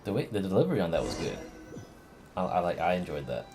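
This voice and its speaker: A man giving casual livestream commentary on a game, reacting in an animated, informal way. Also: low-pitched, fast, music in the background. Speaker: game streamer.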